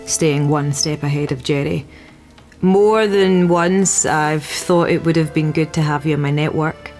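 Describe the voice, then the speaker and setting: An actress playing a wry, seasoned undercover agent in a war video game, understated and gently teasing. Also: female voice, low voice. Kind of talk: video game cutscene acting. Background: music.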